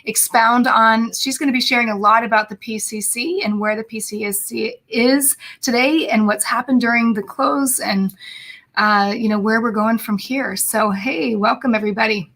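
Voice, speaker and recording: A woman's voice: fairly high pitch, steady tone, normal speed, fairly bright tone. A woman hosting a live podcast over a video call, bubbly and chatty, with many fillers and restarts. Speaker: podcast host. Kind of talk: casual live podcast chat.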